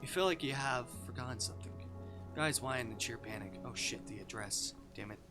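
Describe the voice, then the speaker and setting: A male streamer reading a visual novel aloud with expressive, dramatic delivery and casual commentary. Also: mid-range voice, brisk. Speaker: game streamer. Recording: music behind the voice.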